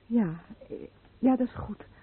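A woman's voice: unhurried, lightly acted. An actress playing a troubled, defensive yet polite female patient, hesitant and emotional, in a radio drama. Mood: evasive. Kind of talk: Dutch radio drama acting. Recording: noisy phone-like old radio recording.

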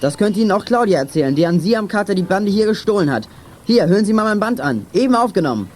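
A man's voice: high-pitched, big pitch swings, quick pace, a little mellow, slight background noise. A young male actor playing an earnest, assertive teenage boy detective in a children's radio play. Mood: accusing. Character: plucky teenage boy detective, bossy and outspoken. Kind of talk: 1980s German children's radio play acting.

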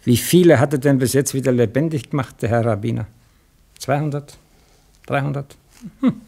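Senior male bureaucrat, creaky voice, Viennese accent, jaded, bossy, cautious, radio drama. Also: medium pitch, moderate pace, mellow. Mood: jaded, wary. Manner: condescending, curt, colloquial officialese.

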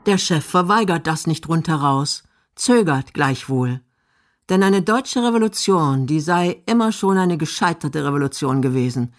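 A woman's voice: sits low, animated, normal speed. A woman reading scripted narration for a radio documentary.

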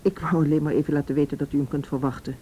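Policewoman, female, played by an actress, Netherlands Dutch, calm and courteous, dark mellow tone, slightly noisy radio drama. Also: very fast, lightly acted. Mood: businesslike. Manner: courteous, composed, official. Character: calm, businesslike policewoman making phone calls. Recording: noisy radio drama recording, low rumble.

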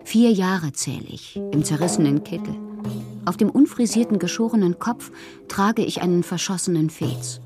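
Radio play casting: actress playing a moody, fanciful woman poet with a lowish voice, theatrical and expressive, reading intimate letters. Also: normal speed, over music, close to an everyday voice. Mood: dreamy, intimate. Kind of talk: literary radio drama, dramatic letter reading.

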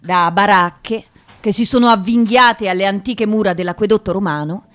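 An actress playing a thoughtful journalist who narrates a radio drama in a measured storytelling manner. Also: female voice, mid-range voice, a bit bright, natural voice. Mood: sober, descriptive.

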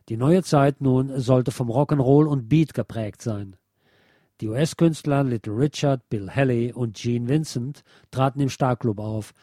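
A man's voice: mid-range voice, dark tone, dry recording, studio quality. A man presenting a scripted, lecture-like essay as a narrator. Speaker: presenter reading a radio essay.

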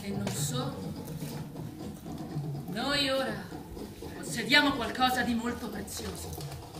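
Una donna che interpreta un'animale a capo di una fattoria, rivoluzionaria eloquente e scaltra, con recitazione teatrale enfatica da radiodramma. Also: female voice, a little high, slow pace, noisy background. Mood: solemn, persuasive. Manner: declamatory, rhetorical, emphatic. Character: commanding farm-animal leader, eloquent, rallying, sly revolutionary. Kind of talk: theatrical stage-style radio drama acting. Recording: roomy echo, loud music underneath.

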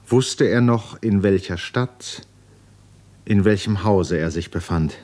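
A grave male storyteller in a 1950s German radio play. He narrates a tragic novel with measured, literary weight, very slowly and in a fairly low register. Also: a little mellow. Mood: grave, tense.

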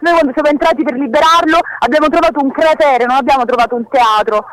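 A woman's voice: sits high, fast, a bit bright, low-quality recording. A woman from Sicily, an activist giving an impassioned, insistent report to a radio show from the street. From Messina, Sicily, Italy. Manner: urgent, insistent, repeating phrases. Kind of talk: impassioned spontaneous testimony. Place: outdoors on a city street, calling in to a radio show.

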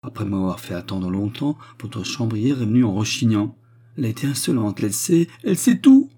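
A man's voice: lowish voice, brisk, mellow. A man voicing a proud, distraught noblewoman, a widow who complains in haughty, tearful exclamations, as in an audiobook. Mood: aggrieved, tearful.

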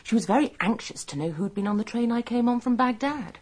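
An actress playing a forthright, easily affronted nurse in a radio drama, speaking in a plain, brisk manner. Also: female voice, a little high, lively intonation, a little mellow. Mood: matter-of-fact.

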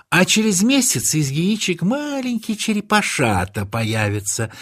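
A man narrating a children's nature tale as an expressive storyteller, confiding and theatrical. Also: medium pitch, slightly rough.

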